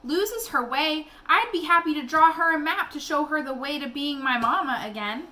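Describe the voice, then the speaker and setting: A woman's voice: sits high, animated, moderate pace, pushed and bright, pitched above the performer's own voice. A woman voicing an earnest, hurt young child in a storybook read-aloud, with insistent, plaintive questions.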